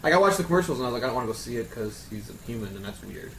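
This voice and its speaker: A man's voice: medium pitch, very fast, distant mic. A man chatting casually at a round table, telling stories in a joking, sweary way. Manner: animated, sweary, joking storyteller. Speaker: panelist on a group discussion show. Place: indoor room, group round-table. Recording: roomy echo with faint background noise.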